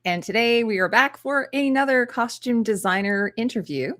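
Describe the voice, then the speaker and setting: A woman's voice: a little high, animated, moderate pace, a bit bright. A woman hosting a channel interview over a video call, upbeat and enthusiastic, with chatty asides to viewers. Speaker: interview host.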